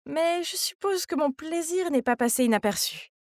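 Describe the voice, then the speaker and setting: A woman's voice: sits high, lively intonation, normal speed, no echo, studio quality. A woman reading a scripted first-person story in a confiding manner, as its young heroine. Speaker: narrator.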